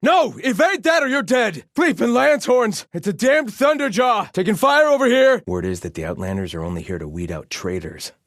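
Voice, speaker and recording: An actor playing a haughty, devout soldier of a sun-worshipping empire in a video game, with a formal, disdainful delivery. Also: male voice, high voice, normal speed, hardly any pauses, fairly bright tone, no echo, clean studio sound. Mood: alarmed shouting. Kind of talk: scripted video game barks and lines.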